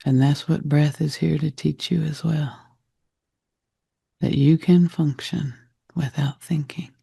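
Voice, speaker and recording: A man guiding a meditation in a gentle, reflective manner, with deliberate short phrases and a soft, husky, gravelly voice. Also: on the high side, steady tone, no room sound, clean studio sound. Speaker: meditation guide. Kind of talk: guided meditation talk.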